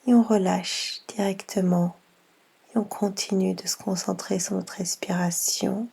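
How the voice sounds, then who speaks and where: A woman guiding a yoga nidra session in a soft, half-whispered voice, unhurried, with long pauses between gentle instructions. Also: medium pitch, steady tone, gravelly, breathy edge. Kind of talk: slow guided meditation.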